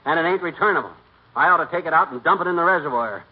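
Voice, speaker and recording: A blustering American small-town schemer in a 1940s radio comedy, boastful and full of slangy grumbles. His raspy, highish voice swings widely in pitch, heard through a muffled old broadcast. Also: lively intonation, racing. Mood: exasperated.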